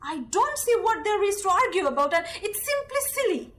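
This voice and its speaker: Woman playing a very high-pitched, stubborn young lady. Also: sing-song, fairly quick, a bit bright, heavily acted. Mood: indignant. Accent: Indian. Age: young adult. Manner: argumentative, emphatic, repeating words. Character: stubborn, indignant young Russian landowner's daughter. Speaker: student actor. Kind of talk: amateur audio drama acting.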